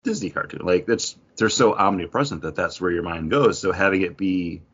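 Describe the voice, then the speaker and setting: A man with a low-pitched, slightly rough and husky voice talks casually as a podcast co-host. He is opinionated and loose with swearing. The audio is a little dull and lo-fi. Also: fairly quick, fairly bright tone. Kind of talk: casual chat.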